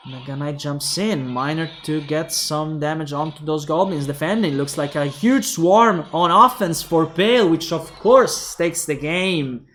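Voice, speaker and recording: A young man with an Italian accent casting a mobile game tournament alone, calling plays quickly and thinking aloud to viewers. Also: fairly high pitch, fairly bright tone. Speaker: esports caster. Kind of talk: solo esports play-by-play commentary.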